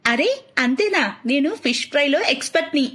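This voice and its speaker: Woman doing a high-pitched eager young wife. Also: animated, fairly quick, fairly bright tone. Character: young Bengali wife, cheerful, eager, caring daughter-in-law.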